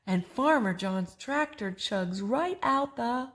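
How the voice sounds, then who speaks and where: A woman reading a rhyming picture book aloud to children, expressively, pausing for a child to join in. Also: a little high. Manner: expressive, prompting a child to fill in rhymes. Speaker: story reader and co-host.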